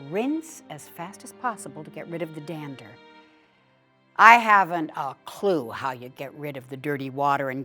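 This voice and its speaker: A woman actor giving an expressive dramatic reading of a humorous instructional essay, like a storyteller. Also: low voice. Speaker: actor reading a written piece aloud.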